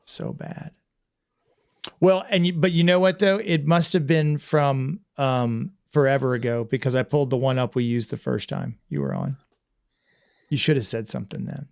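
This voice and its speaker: A man's voice: medium pitch, normal speed, creaky, darkish tone. A man hosting a casual livestream podcast, rambling and self-deprecating with lots of restarts. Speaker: podcast host.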